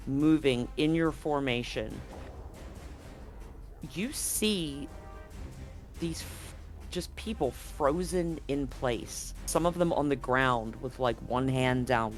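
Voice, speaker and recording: A man running a tabletop horror game as game master, setting scenes slowly and deliberately, often restarting his sentences. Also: mid-range voice.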